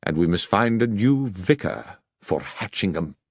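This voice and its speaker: A man voicing a stern, authoritative bishop in an audiobook, measured as he passes judgement. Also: on the low side, animated, no echo, clean studio sound. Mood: stern, grave. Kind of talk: audiobook character acting.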